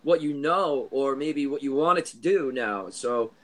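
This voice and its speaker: Man in his thirties, podcast host, enthusiastic, halting, mid pitch. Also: lively intonation, fairly bright tone. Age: 30s. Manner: enthusiastic, halting, many restarts. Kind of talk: casual podcast chat.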